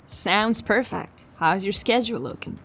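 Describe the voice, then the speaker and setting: A woman playing a determined, businesslike detective in a sci-fi audio drama, speaking in a measured, purposeful way. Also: mid-range voice, expressive, fairly bright tone, recorded from a distance. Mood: businesslike, polite. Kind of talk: audio drama acting, dialogue and monologue.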